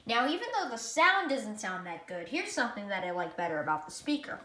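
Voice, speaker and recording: A woman giving a casual, unscripted gadget demonstration in a rambling, halting way, often correcting herself. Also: mid-range voice, big pitch swings. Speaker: product reviewer. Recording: echoey room, loud background noise.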